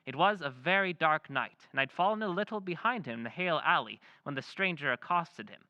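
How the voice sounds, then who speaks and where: An actor voicing a genteel letter-writer reading his letter aloud in an old-time radio drama, measured and confiding. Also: a little high, animated, pushed and bright, dry recording, muffled recording.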